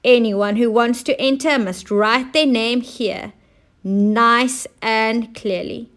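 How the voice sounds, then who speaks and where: Woman as an emphatic, high-voiced boy inventor. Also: slow, fairly bright tone. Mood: announcing. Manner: proud, emphatic, announcing. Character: noisy, eager boy inventor, proud and busy.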